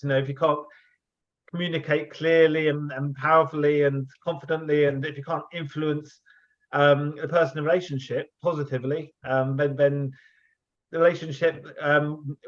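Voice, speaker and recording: Brief: male host, slightly high voice, halting delivery, dull lo-fi line. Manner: hesitant, fumbling, many repeats. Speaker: interview host. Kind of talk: casual interview. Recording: dull lo-fi sound.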